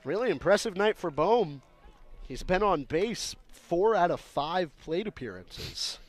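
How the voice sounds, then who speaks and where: A man's voice: sits high, expressive, measured pace. An American man giving conversational color commentary during a live baseball radio broadcast.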